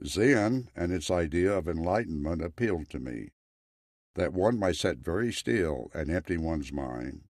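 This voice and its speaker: A man narrating a scripted story in a measured, wry way. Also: sits low, a little mellow, no room sound, studio quality.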